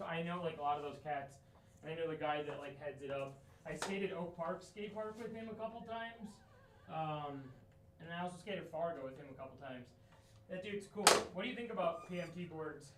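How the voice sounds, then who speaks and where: A man in his late twenties rambles casually to a livestream while he works. His voice is bright and a little high, with loose skater slang. It sounds echoey, as if he is working in a room away from the mic. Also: normal speed. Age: late 20s. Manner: rambling, half talking to himself, skater slang. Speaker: livestreamer doing DIY studio build. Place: echoey indoor room under renovation. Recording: echoey room, distant mic, faint rumbling noise.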